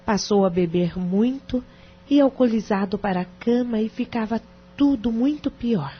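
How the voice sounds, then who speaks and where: A woman's voice: fairly high pitch, moderate pace, slightly rough. A Brazilian woman playing a distressed, sincere wife in an audio drama, speaking formally and earnestly. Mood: confiding, troubled. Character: distressed, sincere wife pleading her case to a lawyer. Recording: dull, muffled radio drama recording.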